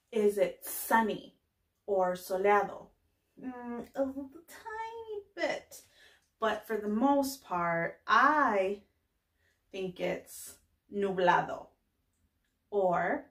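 Very slow-talking young woman teaching children. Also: mid-range voice. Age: young adult. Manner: deliberate, pausing between short phrases, addressing children. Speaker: preschool teacher. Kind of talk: slow lesson for young children, filmed read-aloud greeting. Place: small indoor classroom-style room with bulletin board. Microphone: camera a few steps away. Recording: roomy echo, distant microphone.

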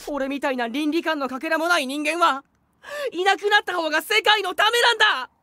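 A woman's voice: very high voice, a little fast, bright, forceful tone, no echo. A woman playing an emotional, boyish teenage schoolboy in an anime audio drama. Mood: anguished. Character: teenage schoolboy, melodramatic, self-loathing, boyish.

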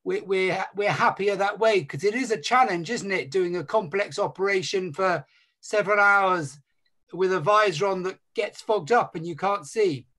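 A man's voice: high-pitched, moderate pace, pushed and bright. A middle-aged man on a video call panel, chatty and inquisitive, correcting himself as he goes. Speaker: surgeon panelist. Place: home room. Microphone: video call, computer mic.

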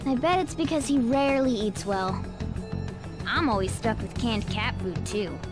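Woman voicing a high-pitched, pompous little talking cat. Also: moderate pace, loud music behind the voice, lightly acted. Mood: cautioning, grumbling. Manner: pompous, instructive. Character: small, self-assured male talking cat, bossy mentor. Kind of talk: English video game voice acting.

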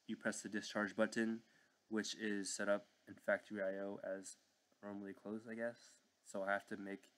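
Young guy doing a casual screen tutorial, low voice, very flat delivery, kinda unsure and apologetic. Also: gravelly. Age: young adult. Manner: hesitant, unscripted, apologetic. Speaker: learner presenting a tutorial. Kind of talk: casual screen-recorded software walkthrough. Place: indoor room at home.

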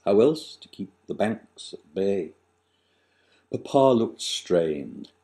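Man in his sixties, dark mellow tone, slow dramatic poetry reading, emphatic, author's recitation. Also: on the low side, sing-song. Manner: dramatic, deliberate delivery with emphatic swells and drops. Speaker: author reading own verse. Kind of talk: theatrical recitation of satirical rhyming verse. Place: small living room, on a sofa, indoors.

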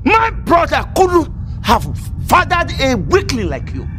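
An actor playing a proud, quarrelsome middle-aged uncle in a film drama, with a Nigerian accent and an indignant, threatening delivery. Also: male voice, on the high side, wildly swooping pitch, slight background noise, heavily acted. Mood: enraged. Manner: indignant, insulting, drawn-out delivery.

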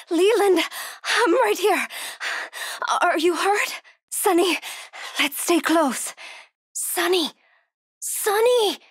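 An actress playing a frightened, devout young woman hiding from killers in a horror video game, urgent, breathy and pleading. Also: very high-pitched, fairly bright tone, no room sound, studio quality, exaggerated voice. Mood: urgent relief.

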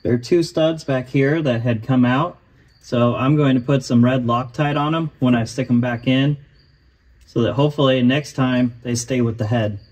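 A man calmly explaining each step of putting an engine back together, voicing over his repair work. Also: mid-range voice, moderate pace, low-quality recording. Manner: calm, matter-of-fact explaining. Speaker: host of a car restoration channel.

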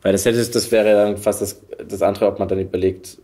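A man hosts a casual interview in a lowish voice. He speaks rapidly and colloquially, with thoughts that ramble loosely from one clause into the next. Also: level tone, dark tone. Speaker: podcast host.

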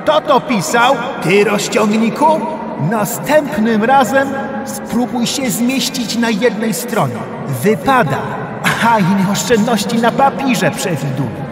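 Fussy mocking contest announcer, super high voice, echoey hall, kids audio play. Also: male voice, expressive, slow, exaggerated voice. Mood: officious. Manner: officious, mocking. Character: pompous, fussy male talent contest host in insect world. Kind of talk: children's audio play acting. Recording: echoey hall with music behind.

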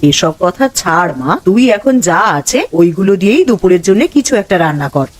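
A woman voicing an older mother in a cartoon, matter-of-fact and gently bossy. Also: on the low side, lively intonation, fairly quick, dry recording. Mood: dismissive. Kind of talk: Bengali cartoon voice acting.

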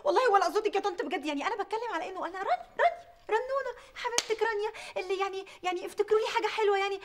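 A woman's voice: extremely high pitch, rapid-fire. A woman playing an indignant Egyptian first wife who babbles defensively. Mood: wheedling. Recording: noisy recording.

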